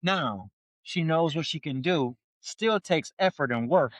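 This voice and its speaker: A man's voice: a little high, animated, a little slow, no room sound. A man in his forties co-hosting a casual motivational chat, emphatic and repetitive with stammered restarts. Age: 40s. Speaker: podcast co-host.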